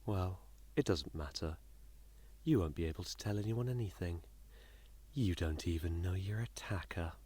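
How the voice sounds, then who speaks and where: Guy acting super low husky voice, smug creepy villain type in an audio drama, smarmy n deadpan, aloof. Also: moderate pace. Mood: menacing. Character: aloof, smug, menacing man toying with his victim. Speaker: voice actor in a fan audio drama.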